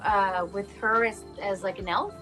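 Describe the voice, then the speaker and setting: A woman's voice: mid-range voice, steady tone, unhurried. A woman being interviewed as a voice actor, chatting casually in a playful, self-joking way. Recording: music behind the talk.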